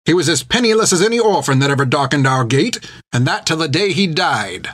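A man's voice: a little high, sing-song, fairly quick, fairly bright tone, pitched above the performer's own voice. A man putting on a snappish old nun. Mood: indignant. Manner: clipped, snappish. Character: old sharp-tongued nun, stern and venomous.

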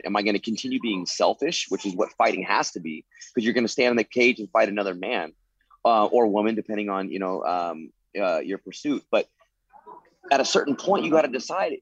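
Husky man in his thirties, lo-fi. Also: on the low side, animated, fairly quick, no echo. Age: 30s. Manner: earnest, frequent "you know" fillers. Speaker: former fighter turned coach. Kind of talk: interview answers, reflective storytelling.

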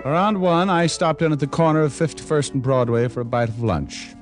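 An actor playing a hard-boiled, wisecracking private detective in vintage radio noir, with a wry, deadpan, slangy delivery. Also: male voice, on the high side, fairly quick, natural voice. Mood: deadpan narrating. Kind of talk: 1940s-50s radio noir acting and narration. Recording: vintage radio recording with music behind.

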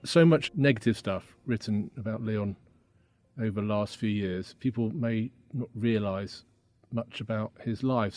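A journalist leading an interview, his voice sitting on the lower side for a man. He puts his questions directly and keeps nudging the guest along. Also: warm tone.

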